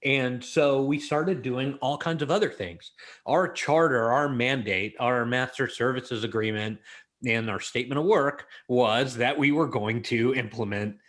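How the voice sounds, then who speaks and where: A middle-aged man who grew up in Los Angeles tells stories as an interview guest. His talk is loose and animated and full of "you know". The voice sits at an ordinary middle pitch. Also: measured pace. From born in Israel, raised in Los Angeles. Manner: chatty, many "you know" fillers, animated. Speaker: guest, creativity expert. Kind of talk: casual storytelling interview.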